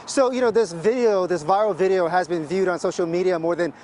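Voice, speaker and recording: High-pitched male live reporter. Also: a little fast, darkish tone. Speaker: field reporter. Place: outdoors at an airport. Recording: live outdoor shot, faint ambient noise.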